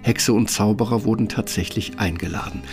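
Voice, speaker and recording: A man's voice: on the low side, fairly even intonation, a little fast, music in the background. A man narrating a fairytale radio play as a scripted storyteller.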